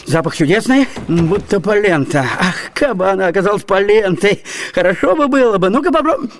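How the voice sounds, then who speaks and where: A man's voice: high-pitched, lively intonation, a little fast, a little hiss or hum. A man playing a gluttonous, scheming, cheeky young servant in a comic radio play, theatrically muttering and exclaiming to himself. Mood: greedy delight.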